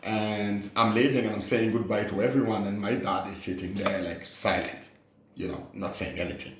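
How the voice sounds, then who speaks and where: Echoey, lower-voiced man in earnest conversation. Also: fairly even intonation, normal speed, noisy background. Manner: earnest, peppered with "you know". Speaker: podcast speaker. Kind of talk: casual, reflective podcast conversation. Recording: roomy, echoey podcast recording.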